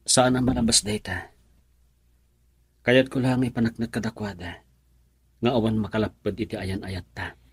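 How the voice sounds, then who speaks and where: A man's voice: lowish voice, steady tone, normal speed, pauses often, breathy edge, no room sound. A young man playing a devoted, reassuring boyfriend in an Ilocano radio drama.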